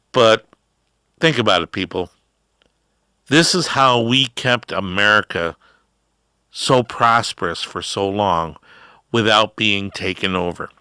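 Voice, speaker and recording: A middle-aged man hosting a talk show, delivering a rambling opinion monologue with drawn-out words and emphatic rises. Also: fairly low pitch, pushed and bright, clear, resonant tone, no echo, clean studio sound. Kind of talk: ranting political talk.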